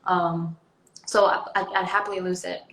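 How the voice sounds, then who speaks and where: A woman's voice: lowish voice, little pitch movement, normal speed, a bit bright, echoey room. A young adult woman from Sweden with a Swedish accent, chatting casually as an interview guest, rambling with many ums and likes. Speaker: actress guest.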